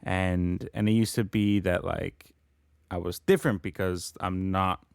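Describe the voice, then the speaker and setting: A man's voice: low voice, steady tone, measured pace, gravelly, smooth, no echo, studio quality. A man co-hosting a podcast, chatting casually in a rambling way. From born in Colombia, grew up in Canada. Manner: rambling, peppered with "like". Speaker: podcast co-host. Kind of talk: casual chat.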